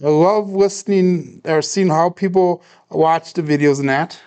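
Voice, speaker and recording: Man, fairly high voice, smooth clean tone, calm steady livestream narration, bet-by-bet commentary. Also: unhurried. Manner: calm, steady, talks viewers through each bet. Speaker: craps practice live streamer. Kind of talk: casual play-by-play livestream narration.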